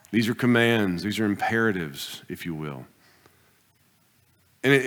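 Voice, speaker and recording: Man, pastor, sermon, deliberate unhurried delivery, loud exhortations and soft asides, reverberant hall. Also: medium pitch. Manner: emphatic preaching, swings between loud exhortation and quiet asides.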